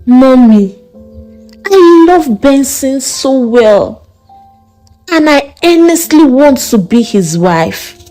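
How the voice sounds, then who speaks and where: Woman playing a hesitant, high-voiced young woman. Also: lively intonation, slow pace, warm tone, soft background music. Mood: tearful, anguished. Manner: hesitant, emotional. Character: nervous, respectful, lovestruck young Nigerian woman.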